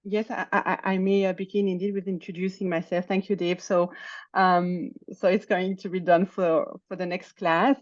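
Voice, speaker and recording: A woman's voice: on the low side, little pitch movement, normal speed, no echo, lo-fi sound. A woman whose first language is French, talking hesitantly in an online English lesson and often searching for words. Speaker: English student. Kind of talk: conversation practice in an online lesson. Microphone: video call.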